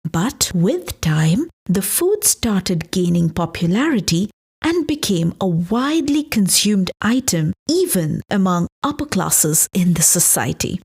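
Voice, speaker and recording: A woman narrating a scripted story as a podcast host, in a measured, expressive explanatory way. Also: mid-range voice, breathy edge. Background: background music.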